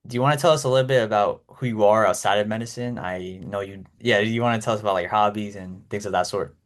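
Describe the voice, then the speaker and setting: Host: man with a lowish voice, relaxed, encouraging interviewer. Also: quick pace. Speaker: podcast host. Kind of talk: casual interview questions.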